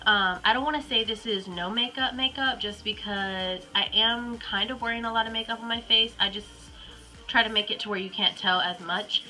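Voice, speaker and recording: A woman's voice: on the high side, fairly even intonation, moderate pace, bright, forceful tone, faint music behind, poor audio. A young woman with a Southern US accent and a stuffy, congested voice from a cold, chatting casually through a makeup tutorial at home with lots of ums and likes. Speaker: beauty vlogger.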